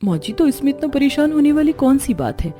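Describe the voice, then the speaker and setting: A woman's voice: high-pitched, very animated, brisk, dark tone, soft background music, voice pushed up. A woman voicing a kind, reassuring young daughter-in-law in a family cartoon.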